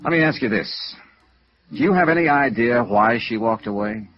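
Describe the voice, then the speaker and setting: Male actor as probing noir investigator, American, sardonic and clipped, very animated intonation, muffled vintage radio sound. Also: medium pitch, moderate pace, close to an everyday voice. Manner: clipped, dry, questioning. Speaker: lead actor playing investigator. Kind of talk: 1950s radio noir acting and narration. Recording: dull vintage radio recording.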